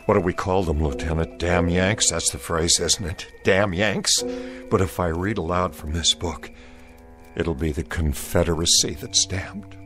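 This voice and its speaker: An earnest troubled soldier, lowish voice, vintage radio play sound. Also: male voice, unhurried. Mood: solemn. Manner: grave, earnest, pleading. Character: troubled Confederate soldier Joe Paradine, grave man. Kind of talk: old-time radio drama acting. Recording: old radio recording with music.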